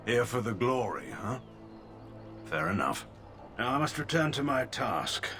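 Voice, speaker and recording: An actor playing a stern, proud older army general in a video game, speaking in a clipped, dismissive, formal way. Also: male voice, medium pitch, big pitch swings, a little slow, bright, forceful tone, soft background music.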